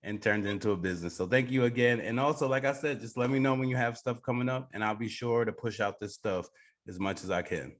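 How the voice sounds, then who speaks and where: A man's voice: mid-range voice, fairly even intonation, fast. A man hosting a podcast, interviewing a guest in a casual conversational way. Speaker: podcast host.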